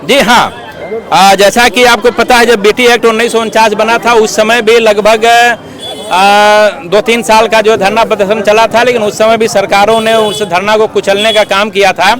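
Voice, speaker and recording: A man's voice: high-pitched, little pitch movement, normal speed, a bit bright, a faint crowd. A man being interviewed as an activist, speaking formally and earnestly as he argues his case at length, with habitual filler phrases. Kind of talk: outdoor street interview, explanatory speech.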